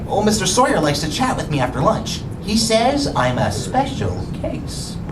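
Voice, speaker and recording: Earnest good-hearted janitor puppet, voiced by a man, guileless, eager, distant and echoey, loud music. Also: high-pitched, huge pitch swings, normal speed, noisy background. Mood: sheepish. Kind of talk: musical puppet-show acting.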